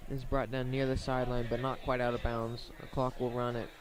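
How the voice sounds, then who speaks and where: A man with a medium-pitched voice commentates on high school football for radio. His intonation stays fairly even and narrow. He lays out each play step by step in a measured manner, leaving pauses as he goes.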